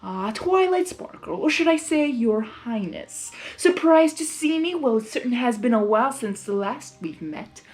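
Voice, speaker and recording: A woman's voice: fairly high pitch, sing-song. A woman voicing a theatrical male chaos creature, a mocking villain who feigns innocence in a story reading. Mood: mock-charming. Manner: mocking, mock-innocent, drawn-out.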